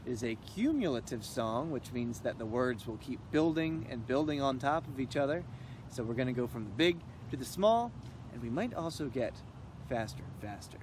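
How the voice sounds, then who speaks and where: A man's voice: mid-range voice, animated, normal speed, noisy background. A man playfully talking straight to children as an entertainer introducing a sing-along, recorded outdoors. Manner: playful, addressing children directly. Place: outdoors in the woods.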